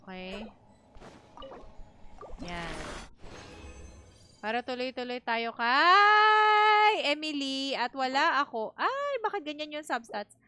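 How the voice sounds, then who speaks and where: A woman's voice: high-pitched, sharp tone. A woman with a Filipino accent chatting casually as a live game streamer, switching between languages. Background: game music.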